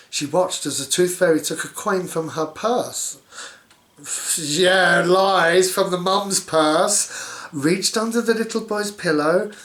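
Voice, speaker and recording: A man's voice: sits high, fairly bright tone, roomy sound. A man reading a children's story aloud, dropping in sarcastic, mocking asides as he goes. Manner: sarcastic, mock-commentating on the story. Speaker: storybook reader.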